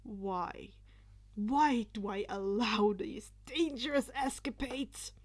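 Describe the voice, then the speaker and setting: A woman's voice: on the high side, takes it slowly. A woman voicing a stiff, fretful and exasperated studious teenage boy.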